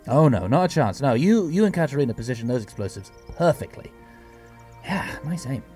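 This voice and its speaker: A man acts as a time-travelling alien hero in an audio drama, with a very lively, swooping delivery. He is stern yet playful and awkward, over a clear music bed. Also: mid-range voice, a little fast, natural voice. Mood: light, friendly.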